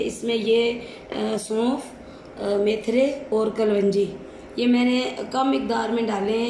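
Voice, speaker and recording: A woman with a sore throat, explaining a home recipe step by step for her cooking channel. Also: medium pitch. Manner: plain, step-by-step home cooking talk with pious phrases. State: sore throat, voice not clear. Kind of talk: casual cooking vlog narration. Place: home kitchen. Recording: echoey kitchen with loud background noise.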